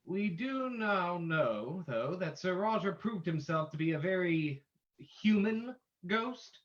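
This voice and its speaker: A man playing a bold, confident gentleman detective in an old-time radio drama, theatrical and commanding. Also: high voice, expressive, slow pace, lo-fi sound. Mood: brisk, curious.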